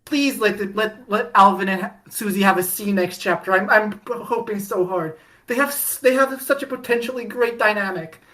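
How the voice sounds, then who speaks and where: A woman's voice: mid-range voice, normal speed, fairly bright tone. A woman co-hosting a podcast, chatting casually and eagerly, rambling with many restarts and fillers. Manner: enthusiastic and pleading. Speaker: podcast co-host. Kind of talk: casual fandom chat.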